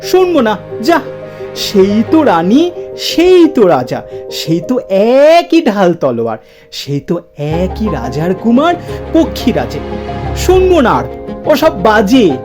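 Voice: mid-range voice, huge pitch swings, takes it slowly. A poetry reciter voicing a capricious young cloud-girl, bossy and teasing, with a lilting delivery over music. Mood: scornful. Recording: music bed behind the voice.

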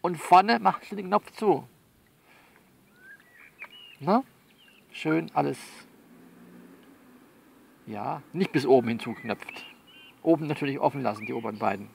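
Gravelly man, coaxing and bossy. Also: a little high, a little slow, long pauses, a little hiss or hum. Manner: coaxing, bossy, repeats himself. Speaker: performer of his own audio novel. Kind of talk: improvised one-sided phone-call monologue, conversational.

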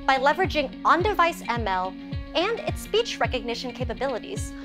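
A woman's voice: high-pitched, sing-song, a little fast. A woman in her thirties presenting as host, in a scripted, to-camera style. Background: background music.

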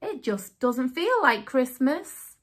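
A woman voicing a fretful, squeaky male mouse who exclaims in drawn-out, indignant words for a children's storybook. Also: big pitch swings, slight background noise, heavily acted. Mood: glum.

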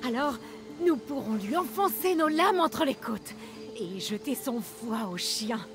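Jeune rebelle fougueuse, voix assez aiguë. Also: female voice, fairly high pitch, animated, measured pace, music in the background. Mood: fervent. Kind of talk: dubbed video game acting.

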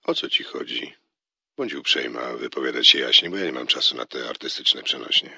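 A man playing a curt, haughty, irritable inventor with a rough, gravelly voice. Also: extremely low pitch, expressive, brisk, pushed and bright, no room sound, full character voice. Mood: impatient. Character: curt, scornful male inventor on the telephone.

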